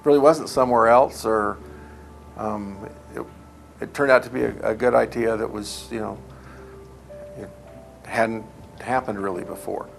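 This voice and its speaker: A man's voice: fairly low pitch, steady tone, a little slow, creaky, long pauses, faint music behind. A man recalling past events in a documentary interview, telling anecdotes and quoting remembered conversations.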